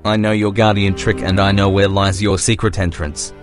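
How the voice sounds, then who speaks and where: A man voicing a stern, threatening pirate slaver in a motion comic, in a gruff, menacing manner. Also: on the low side, level tone, moderate pace, natural voice. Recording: background music under the voice.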